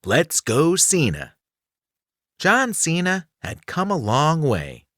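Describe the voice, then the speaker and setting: A man reading a children's nonfiction book aloud as scripted narration, enunciating clearly with a dramatic storytelling delivery. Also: on the high side, wildly swooping pitch, slow pace, a bit bright, no echo, clean studio sound. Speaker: audiobook reader.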